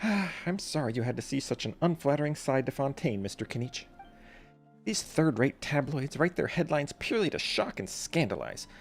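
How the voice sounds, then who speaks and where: A man's voice: medium pitch, big pitch swings, normal speed, soft background music, pitched above the performer's own voice. A man voicing a chatty young female reporter in a video game, with a breezy, dramatic manner. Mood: earnest. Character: young female tabloid-savvy reporter, chatty, theatrical, earnest.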